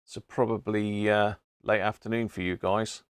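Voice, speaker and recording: A man's voice: on the low side, little pitch movement, normal speed, clean tone, dry recording. British man, dry close sound, casual livestream. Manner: rambling, thinking aloud, chummy asides. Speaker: livestream host, CB radio hobbyist. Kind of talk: casual live chat to viewers. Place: indoor radio room.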